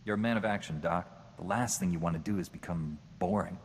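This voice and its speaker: Man voicing a stern jedi knight, calm and measured, very deep voice, long reverb. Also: a little hiss or hum, close to an everyday voice. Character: earnest male Jedi knight, stern moral voice. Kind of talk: video game character dialogue.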